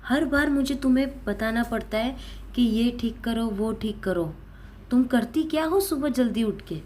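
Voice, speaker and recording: A stern older mother-in-law, acted out by a woman storyteller, nagging and scornful in a raised pitch. She fires accusing questions as in a family drama story, with noticeable noise in the recording. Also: moderate pace, a little mellow, close to an everyday voice. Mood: scolding.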